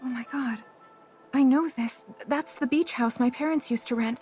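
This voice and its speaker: A woman's voice: high voice, normal speed, darkish tone, soft background music, natural voice. An actress playing a young woman, newly blinded, who is frightened, emotional and defiant. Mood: amazed. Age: young adult. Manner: agitated, emotional, talks back sharply.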